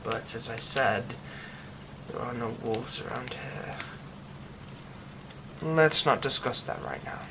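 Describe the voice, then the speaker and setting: A man voicing a secretive young man who hides a werewolf curse, in an ASMR roleplay drama. He speaks in a hushed voice that often drops to a whisper. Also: mid-range voice, slow, long pauses. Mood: hushed, evasive. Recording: steady rain noise behind the voice.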